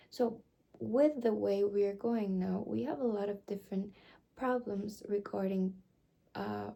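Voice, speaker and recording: A woman doing an ASMR roleplay, soft-spoken and partly whispered, explaining things in a halting, rambling way with many fillers. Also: medium pitch, vocal fry, breathy edge. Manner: slips between whisper and soft voice. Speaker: ASMR creator.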